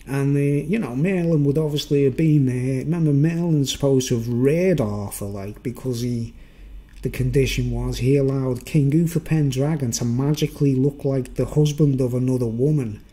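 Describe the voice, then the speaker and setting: A man's voice: mid-range voice, moderate pace, dark tone. A man from the Wirral with a Merseyside accent, giving an informal, digressive, unscripted monologue to viewers and often tagging on questions for agreement. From Wirral, north-west England. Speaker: vlogger.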